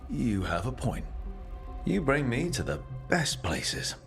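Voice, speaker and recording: A man's voice: medium pitch, big pitch swings, slow, gravelly, full character voice. A man playing an earnest, reassuring young adventurer and leader in a fantasy game, speaking plainly and encouragingly. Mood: casual, determined. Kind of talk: cinematic fantasy game dialogue. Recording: music playing behind the voice.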